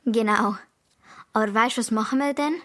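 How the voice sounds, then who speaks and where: Bold, high-voiced Swiss girl, played by a woman. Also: normal speed, fairly bright tone, slight background noise, close to an everyday voice. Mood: excited, secretive. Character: bold, cheeky young girl, a twin. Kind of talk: children's radio play acting.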